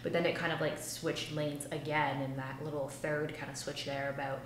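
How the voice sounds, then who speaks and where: Young woman, reaction co-host; low, fast, rambling, unsure; roomy sound, light hum. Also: level tone. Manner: rambling, tentative, many "like"s. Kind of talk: casual music reaction chat. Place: home room, seated in gaming chair. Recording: roomy echo, faint hum.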